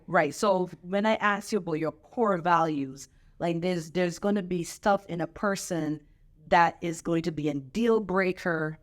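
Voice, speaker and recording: A woman pastor hosting a casual chat, coaching her guest with lively, repetitive emphasis and preacherly turns of phrase. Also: on the low side. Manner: preacherly scripture quoting. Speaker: pastor and show host.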